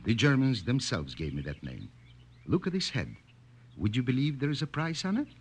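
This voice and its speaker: An actor playing a jovial, boastful French resistance leader in a theatrical 1950 radio drama, with a French accent. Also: male voice, mid-range voice, very animated, normal speed, husky. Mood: effusive, jovial. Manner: exuberant, effusive, chuckling, theatrical. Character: jovial, boastful French resistance fighter leading a forest band. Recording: old 1950s radio broadcast with background noise.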